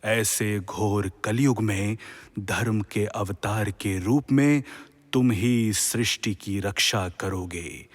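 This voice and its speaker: An actor playing a serene, wise god in a Hindi mythological audio drama, speaking solemnly in measured, formal classical diction. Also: male voice, lowish voice.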